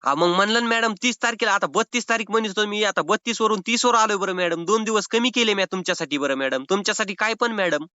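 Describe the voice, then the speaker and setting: A man's voice: high voice, fast, few pauses, pushed and bright, clear, resonant tone, no echo. A man playing a cheeky, evasive rural Maharashtrian borrower with a whiny, argumentative way of stalling in a comic phone call. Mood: mock-earnest. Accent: rural Maharashtrian Marathi. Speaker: prank caller playing a loan defaulter. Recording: slightly dull phone-call audio.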